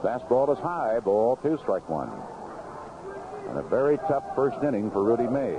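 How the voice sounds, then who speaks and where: A noisy, dull old radio broadcast carries an American man calling baseball. His pitch swings in a lively way as he narrates each play in clipped, unhurried phrases, in the manner of a 1970s play-by-play announcer. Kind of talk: live radio sports commentary, 1970s. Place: outdoor ballpark broadcast booth.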